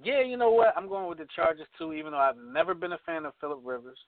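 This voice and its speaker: A hoarse man hosts a casual sports talk show, jumping between picks with stumbling restarts. His voice sits a touch high. The sound is dry and tinny, like a phone line. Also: expressive, quick pace, no echo.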